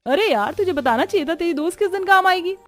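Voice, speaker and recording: A woman's voice: very high-pitched, expressive, fast, a bit bright, soft background music, voice pushed up. A woman voicing a haughty young rich daughter-in-law in a cartoon story, condescending and showing off. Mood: chummy, dismissive.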